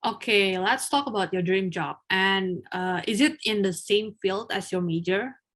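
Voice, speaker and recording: A young woman in a mock English speaking test, answering and then asking questions, often pausing with filler sounds. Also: mid-range voice, a bit bright. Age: young adult. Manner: hesitant, frequent filler sounds. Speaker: test candidate, then examiner.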